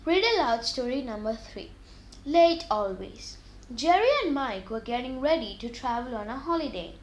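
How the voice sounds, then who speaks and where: A woman with a high voice reads stories aloud for children. Her delivery is scripted and deliberate, with expressive emphasis suited to simple moral tales. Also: a little hiss or hum, poor audio. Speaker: children's story reader.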